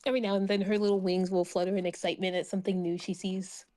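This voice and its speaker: A woman's voice: medium pitch, level tone, rapid-fire, mellow, no room sound. A woman chatting casually and describing her character as a player in a tabletop game. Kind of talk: casual chat and roleplay narration.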